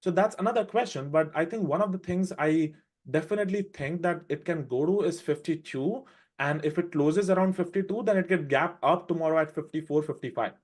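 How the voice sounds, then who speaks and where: A man with an Indian accent, raised in India, walking through his trades on a live trading show with quick, animated explanations. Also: a little high. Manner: fast, animated explaining with restarts. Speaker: day trader presenting a trade recap.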